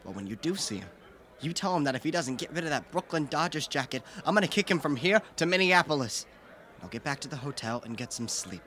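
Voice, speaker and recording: A man playing a gruff, bossy baseball manager in a radio comedy, blunt and slangy. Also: on the high side, animated, fast, sharp tone. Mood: scolding.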